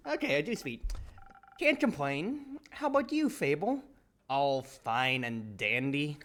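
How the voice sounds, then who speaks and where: A streamer voicing a friendly, gentle tavern keeper in a visual novel, measured, politely curious and drily teasing. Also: mid-range voice, very animated. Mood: gentle, dry.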